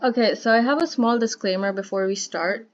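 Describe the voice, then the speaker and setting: A woman's voice: on the high side, a little fast. Young woman interviewer, dull lo-fi sound. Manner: friendly, chatty, lots of likes and fillers. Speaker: student interviewer. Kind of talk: casual student interview. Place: at home.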